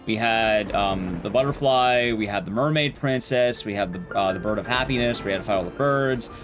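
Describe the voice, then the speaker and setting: A man's voice: lowish voice, moderate pace, fairly bright tone, soft background music. A man hosting a livestream, chatting casually with viewers in informal slang, with emphatic, teasing commentary on a game. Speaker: livestream host.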